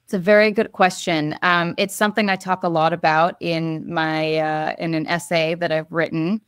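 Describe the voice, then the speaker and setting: A young woman speaks on a video call with a lowish voice for a woman and a dry, close sound. She answers at length in an earnest way, pausing often with ums and starting phrases over. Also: little pitch movement, smooth, no echo. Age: young adult. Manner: earnest, with frequent ums and restarts. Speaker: guest, advocate and essayist. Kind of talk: thoughtful interview answer. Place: home room.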